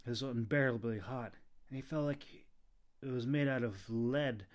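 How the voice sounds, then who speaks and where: A man's voice: medium pitch, normal speed. Man dramatically narrating a fantasy audiobook. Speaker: author narrating his own audiobook.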